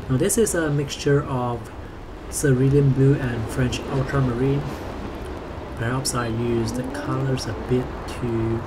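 A man calmly explaining his sketching and watercolor process in a voice-over. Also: mid-range voice. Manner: calm, explanatory, unhurried. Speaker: artist narrating his sketching video. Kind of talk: voice-over commentary on painting. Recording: audible background noise.

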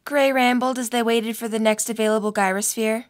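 A woman's voice: fairly high pitch, fairly even intonation, fairly quick. A young adult woman reading scripted story narration for an audio drama.

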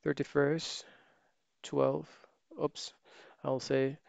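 A man giving a screen-recorded software tutorial, thinking aloud as he types and often correcting himself. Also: medium pitch, drawn-out words, creaky, no room sound. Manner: relaxed, thinking aloud while typing, many fillers and self-corrections. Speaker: software trainer.

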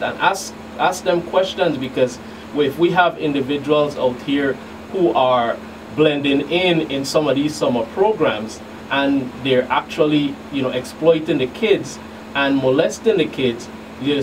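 A middle-aged man, a law enforcement agent, answering as a guest expert on a radio talk show, haltingly and often repeating words. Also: fairly high pitch. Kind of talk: explanatory radio interview answers. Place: small radio studio. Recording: noisy, distant mic with room hum.

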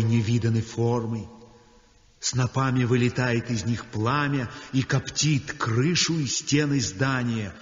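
A haunted, feverish painter tells of a nightmare in an old radio drama. He speaks slowly and intensely, and his voice hangs in a long reverb over faint music. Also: lowish voice, highs cut off. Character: feverish, tormented male painter recounting a nightmare. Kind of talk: 1960s Soviet radio drama acting.

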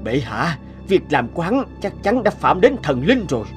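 An actor playing a scheming, obsequious cartoon court official with an insinuating, formal manner. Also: male voice, fairly high pitch, sing-song, moderate pace, faint music behind. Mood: accusing.

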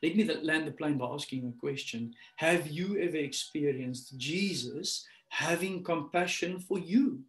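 A man in his thirties, a pastor giving a sermon over a webcam from a small room, climbing to loud emphatic peaks and dropping to a soft prayer. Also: fairly high pitch, a little slow, hardly any pauses. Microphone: webcam on a video call. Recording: echoey room.

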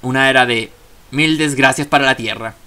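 A man's voice: mid-range voice, normal speed, pushed and bright. A man hosting a gaming video with casual let's play commentary, full of chatty, jokey asides.

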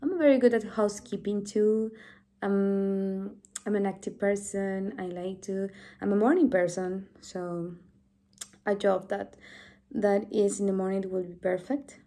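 A woman's voice: medium pitch, steady tone, measured pace, mellow. Chilean woman in her twenties, earnest. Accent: Chilean Spanish. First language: Spanish. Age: 20s. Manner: earnest, friendly, with repeated restarts. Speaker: English teacher applying for volunteering. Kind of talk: self-introduction video to camera. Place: small room at home, indoors. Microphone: device camera at close range.

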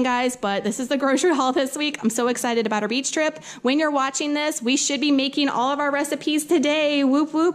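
A young woman from Louisiana with a Southern accent, chatting upbeat in a casual home kitchen vlog. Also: high-pitched. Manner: chatty and upbeat, lots of "like" and "y'all". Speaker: vlogger. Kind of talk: casual grocery haul vlog.

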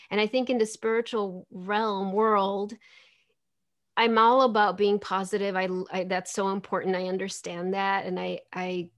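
A woman in her forties whose first language is Spanish, talking earnestly and reflectively as a guest on a video call from home. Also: medium pitch. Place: home room with plants and window. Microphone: video call webcam.

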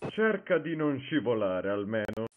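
A man's voice: lowish voice, big pitch swings, a little slow. A man voicing a bossy, scolding animatronic bear who leads a children's show. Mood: bossy, urgent. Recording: muffled lo-fi tape, phone-like.

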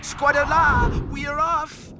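A man's voice: extremely high pitch, fairly even intonation, slow. A man playing a solemn old wizard guide in a cartoon video game, proclaiming grandly over music. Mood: exclaiming.

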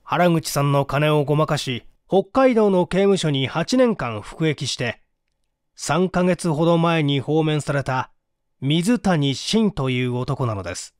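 Man reading as a methodical, animated police detective. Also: on the high side, unhurried, no echo, studio quality. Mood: earnest, emphatic. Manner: formal, orderly, explanatory. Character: methodical male police detective explaining his deductions formally. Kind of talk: dramatic audiobook reading.

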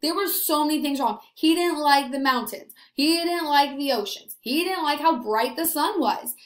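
A woman in her twenties teaching young children after a read-aloud, animated, encouraging and emphatic, recorded in a small room. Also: high voice, normal speed, echoey room.

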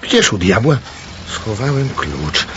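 An actor playing an older upper-class doctor, a stern but courteous uncle with a husky voice and formal manner, in a radio drama. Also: male voice, lowish voice, sing-song, takes it slowly, noisy background. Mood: fussy. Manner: formal, courteous, hesitant asides. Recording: dull, muffled recording.

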